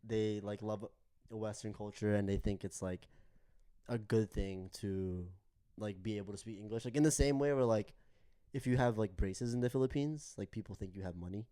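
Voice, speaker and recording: A young man co-hosting a podcast, talking in a relaxed, thoughtful way. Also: lowish voice, normal speed, smooth. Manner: relaxed, thoughtful, many fillers. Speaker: podcast co-host. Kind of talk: casual chat.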